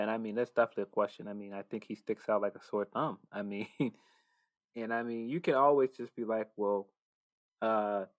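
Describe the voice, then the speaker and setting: Male co-host, lowish pitch, reflective casual talk, slightly hesitant, dry recording. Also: a bit bright, no echo. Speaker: podcast co-host. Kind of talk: casual conversation.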